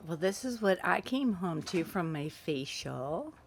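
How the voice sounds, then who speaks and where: A woman showing her garden on her vlog, gushing and excited. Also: fairly low pitch, a little slow, slight background noise. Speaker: gardening channel host. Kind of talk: casual vlog narration.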